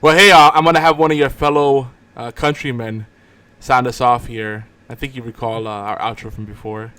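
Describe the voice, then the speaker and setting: A man's voice: medium pitch, steady tone, normal speed, bright, forceful tone. A middle-aged man hosting a podcast, chatting casually with a joking, bantering manner. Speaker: podcast host. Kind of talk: casual chat.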